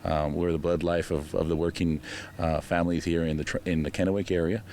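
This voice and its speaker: A man who owns a small business and runs for city council, speaking haltingly with many fillers in a news interview. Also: sits low, level tone, mellow, a little hiss or hum.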